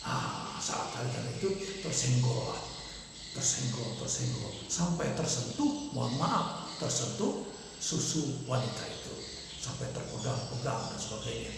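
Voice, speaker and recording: A man telling a folk legend in a halting, repetitive oral storytelling manner. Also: mid-range voice, lively intonation, vocal fry. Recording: echoey room with loud background noise.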